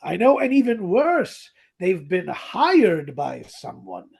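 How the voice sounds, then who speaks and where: A man co-hosting a podcast, casually retelling a story in an animated way. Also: sits high, slow, creaky, slightly rough. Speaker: podcast co-host. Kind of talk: casual chat, retelling a radio drama.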